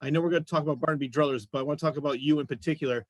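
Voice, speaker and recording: A man with a fairly high voice hosts an interview in a casual, chatty style. The sound is dry, with hardly any room on it. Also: steady tone, very fast, dry recording. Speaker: interview show host.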